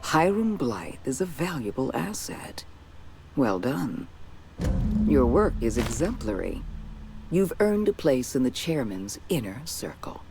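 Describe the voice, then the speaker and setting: A man's voice: medium pitch, very animated, slow, music in the background. An actor playing a cold, formal male corporate official who speaks in a clipped, approving manner.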